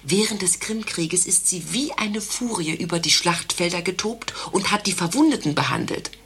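An actress playing a pompous, devoted doctor's receptionist in a satirical radio play, earnest and emphatic. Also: female voice, fairly low pitch, lively intonation, normal speed, fairly bright tone, husky, full character voice. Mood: earnest, admiring. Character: devoted, pompous doctor's receptionist who idolises Florence Nightingale.

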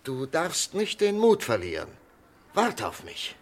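An actor playing a desperate, compassionate doctor who pleads intensely in a 1960s German radio drama. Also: male voice, on the high side, huge pitch swings, unhurried, exaggerated voice. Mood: urgent pleading. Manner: imploring, intense, swinging from whispers to shouts. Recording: 1960s mono radio play.

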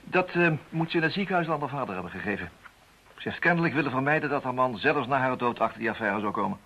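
An actor playing a brisk, shrewd, insistent detective with a husky voice in a radio play. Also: male voice, mid-range voice, expressive, pushed and bright, exaggerated voice, rough recording. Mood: explaining.

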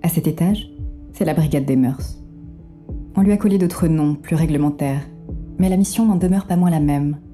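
Actress for female third-person narrator, noir thriller audio drama; deep for a woman, very soft-edged dark timbre, wry and measured. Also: soft background music, natural voice. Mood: detached, wry.

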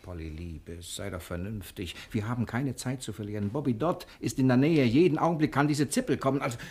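An actor playing a cheeky, optimistic fugitive husband in a 1960s German radio crime drama, coaxing and reassuring. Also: male voice, mid-range voice, a little fast, darkish tone, breathy edge, close to an everyday voice. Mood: persuasive, urgent. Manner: persuasive, reassuring.

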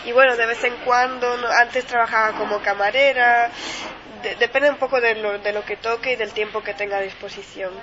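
Flat-voiced young Swiss woman, chatty. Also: a little high, brisk, muffled recording, a crowd in the background. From Ticino, Switzerland. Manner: conversational, often adding tag questions. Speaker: guest, master's student. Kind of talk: casual radio interview.

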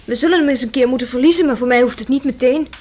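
An actress playing a witty, flippant young heiress in a radio play, talkative and theatrical. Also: female voice, high voice, rapid-fire, a little mellow. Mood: flippant, mocking.

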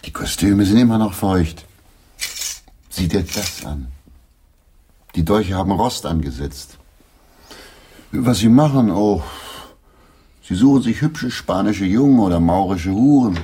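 A man's voice: deep voice, lively intonation, unhurried, pauses often, background noise. A male actor playing a practical, dutiful English stage player, matter-of-fact, in a radio play.

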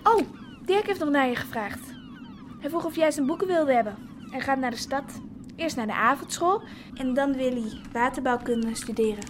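A woman's voice: high voice, animated, moderate pace. An actress playing a chatty young village woman in a radio drama. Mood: casual, friendly. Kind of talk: Dutch radio drama acting. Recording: noisy outdoor ambience.

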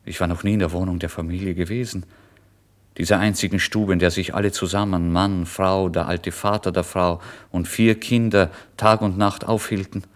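A man with a fairly low voice narrates a literary story in the first person. His delivery is theatrical, able to move from a hushed murmur to excited outbursts. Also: normal speed.

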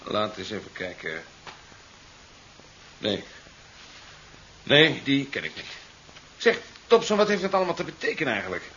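An actor playing a brisk, jovial, slightly eccentric doctor-scientist in a 1970s radio play, hearty one moment and muttering to himself the next. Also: male voice, mid-range voice, wildly swooping pitch, pushed and bright, exaggerated voice. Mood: irritated, guarded. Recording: muffled 1970s radio recording with hiss.

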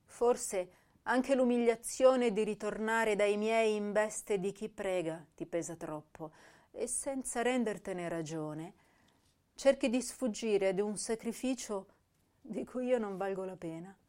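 A woman's voice: mid-range voice, a little mellow, lightly acted. A woman playing an intense, tender, self-reproaching young poet in a radio drama, reading her letters and verse with theatrical feeling. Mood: self-reproachful, wounded. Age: young adult. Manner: slow, emotive, theatrical delivery.

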